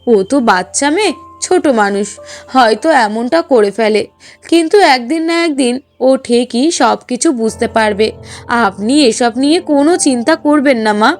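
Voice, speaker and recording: A woman voicing a gentle, forgiving young daughter-in-law in a cartoon, speaking in a meek, soothing, deferential way. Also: fairly high pitch, normal speed, faint music behind, lightly acted. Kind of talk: Bengali cartoon voice acting.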